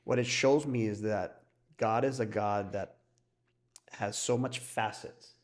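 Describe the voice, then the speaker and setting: A man's voice: fairly low pitch, fairly even intonation, slow. A man co-hosting a casual podcast, talking reflectively and often checking in with his listeners. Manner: conversational, peppers talk with check-in questions. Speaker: podcast co-host.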